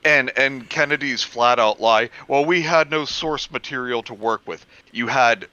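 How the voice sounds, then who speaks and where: A man with a bright, sharp-edged voice at an ordinary male pitch, heard through slightly muffled lo-fi audio. He explains things in casual, animated conversation. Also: a little slow, dry recording.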